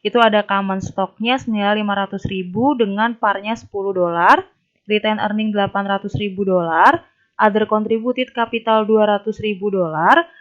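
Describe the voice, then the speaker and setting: Casting: young female tutor, medium pitch, explains step by step, slightly dull low-fidelity sound. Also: steady tone, normal speed. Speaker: accounting tutor. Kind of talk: instructional narration of a worked problem. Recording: dull, lo-fi voice recording.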